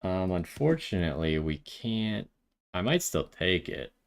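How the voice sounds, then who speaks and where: Male gaming video host, lower register, casual play-by-play, reasons through decisions aloud. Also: big pitch swings, measured pace. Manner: thinks aloud while deciding. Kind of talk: casual gameplay commentary.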